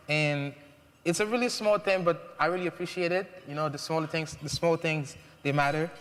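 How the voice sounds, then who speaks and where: A man's voice: on the high side, a bit bright. A young man from Haiti speaking earnestly on a panel, with many ums and uhs. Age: young adult. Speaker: mentee. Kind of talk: prepared speech on a panel. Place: large event hall. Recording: long hall reverb.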